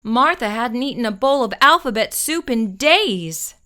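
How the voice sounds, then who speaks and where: A woman reading a children's picture book aloud, slow and expressive. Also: on the high side. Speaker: children's storyteller.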